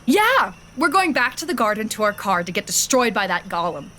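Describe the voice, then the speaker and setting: A woman's voice: medium pitch, very animated, a little fast, sharp tone, a little hiss or hum. An actress playing a bitter, sarcastic young woman who snaps and accuses, in an audio drama. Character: Amber, bitter, sarcastic young woman blaming her companions.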